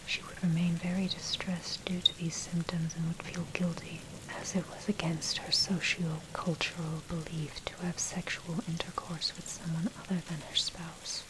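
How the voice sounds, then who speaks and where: A woman reading a scripted article in a breathy, unvoiced ASMR whisper, with measured, articulate, bookish delivery. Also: on the low side, low-quality recording. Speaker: narrator reading own dark history article. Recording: steady noise, rain and thunder behind. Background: rain and thunder.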